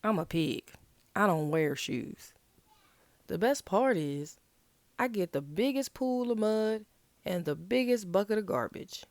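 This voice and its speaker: A woman's voice: medium pitch, expressive, unhurried, darkish tone, no echo. A woman voicing patient, easygoing grown-up animals in a picture-book read-aloud, coaxing and matter-of-fact. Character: patient grown-up frog parent and easygoing pig.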